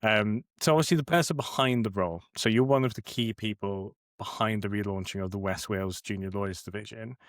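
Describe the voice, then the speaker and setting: A man's voice: lowish voice, brisk, hardly any pauses, no echo. A young man hosting a podcast interview, introducing his guest and asking questions with frequent restarts. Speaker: podcast host.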